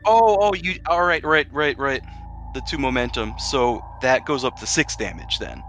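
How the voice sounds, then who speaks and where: A man's voice: mid-range voice, animated, normal speed, fairly bright tone, a little hiss or hum. A man narrating a tabletop roleplaying game as game master, switching between dramatic description and casual rules talk.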